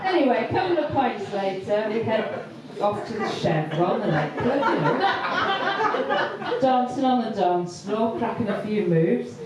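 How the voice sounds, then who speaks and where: Unhurried woman comic with lots of ums, pitch moving up and down, heard from afar in a roomy venue. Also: expressive, heavy background noise. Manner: hesitant, lots of ums, slow deliberate delivery. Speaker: stand-up comedian. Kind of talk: stand-up storytelling. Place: comedy venue with audience laughter. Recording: echoey far-off live room recording.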